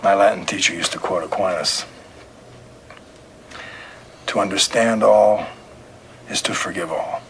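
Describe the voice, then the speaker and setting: A low male voice of an actor plays an audio drama police detective, calm and relentless. He questions in short, pointed, methodical phrases. There is clear background noise and ambience behind him. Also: measured pace, long pauses, heavily acted, poor audio. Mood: probing, pressing.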